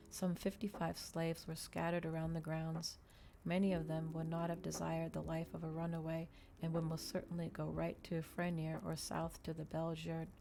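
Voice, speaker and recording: A woman doing an ASMR reading of a novel, soft-spoken and measured, with a dramatic storytelling delivery. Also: low-pitched, creaky, noisy background. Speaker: streamer reading a novel aloud.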